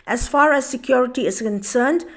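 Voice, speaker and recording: A woman's voice: high-pitched, moderate pace, fairly bright tone. A woman with a Northeast Indian accent reading a scripted news voice-over. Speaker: news narrator.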